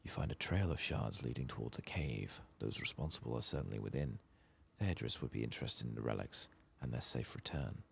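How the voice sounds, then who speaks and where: Very deep, gravelly, soft-spoken man. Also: expressive, fairly quick, few pauses. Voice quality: soft, often breaking into whisper. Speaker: ASMR gaming host. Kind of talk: soft-spoken and whispered ASMR game playthrough.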